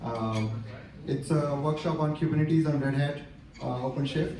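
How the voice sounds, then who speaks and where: A man's voice: on the high side, unhurried, a crowd in the background. A man organizing a meetup, casually introducing the next speaker with some fillers. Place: large meeting room. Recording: echoey room, distant speaker, audience behind.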